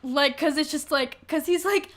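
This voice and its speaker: A woman's voice: high-pitched, normal speed, fairly bright tone. A woman co-hosting a podcast, chatting casually about a book in a giggly, exclamatory and often incredulous manner. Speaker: podcast co-host. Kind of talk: casual book discussion chat.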